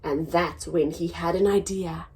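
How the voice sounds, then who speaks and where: A woman hosting a children's story time, reading a picture book aloud in an expressive, sing-song way for small children. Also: lowish voice, measured pace, slight background noise.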